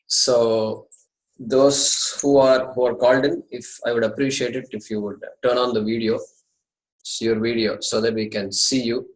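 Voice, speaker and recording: A man's voice: medium pitch, level tone, takes it slowly, long pauses, roomy sound, low-quality recording. A man in his fifties with an Indian accent, a native Tamil speaker, courteously opening an online Sanskrit class from his apartment over a video call. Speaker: Sanskrit teacher. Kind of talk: online class orientation talk.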